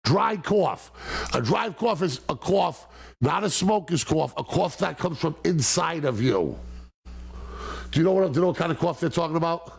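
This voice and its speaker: A man's voice: a little high, animated, normal speed. An older man hosting a casual talk show, rambling and joking with his guests. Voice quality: gravelly, husky. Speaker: host.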